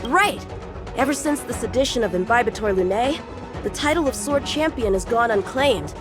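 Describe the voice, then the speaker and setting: Game dub role: eager teen boy swordsman woman actor, highish, very animated, confident and courteous. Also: normal speed, over music, lightly acted. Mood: proud, eager. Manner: earnest, animated. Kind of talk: English video game dub acting.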